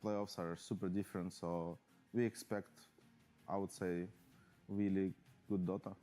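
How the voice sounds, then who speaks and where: A man, a professional player, giving a halting interview full of fillers and restarts. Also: low-pitched, flat delivery, warm tone, soft background music. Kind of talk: post-match player interview.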